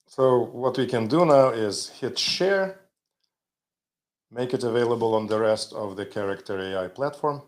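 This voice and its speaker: A man hosting a live show, rambling and chatty with joking asides. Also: mid-range voice. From Ukraine. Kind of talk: casual live-stream demo.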